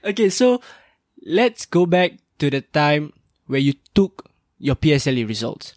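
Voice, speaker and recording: A man's voice: on the high side, big pitch swings, measured pace, a little mellow, no echo, clean studio sound. Upbeat young man hosting a podcast. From Singapore. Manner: upbeat, chatty and emphatic. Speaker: podcast host. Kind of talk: casual interview chat.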